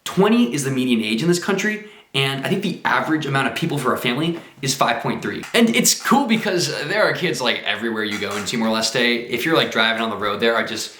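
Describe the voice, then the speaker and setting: A man's voice: medium pitch, animated, quick pace, pushed and bright. A man talking casually to camera in a travel vlog, chatty and enthusiastic with lots of exclamations. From United States. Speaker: travel vlogger. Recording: roomy echo.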